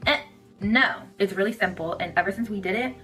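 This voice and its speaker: A woman's voice: mid-range voice, brisk, pushed and bright, soft background music, rough recording. A young woman giving a casual opinion monologue as a social media creator. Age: young adult.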